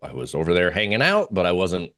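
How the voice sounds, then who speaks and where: Very deep-voiced man, casual and hesitant. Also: huge pitch swings, fast talker, fairly bright tone. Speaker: interview guest, oil trader. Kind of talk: casual chart presentation.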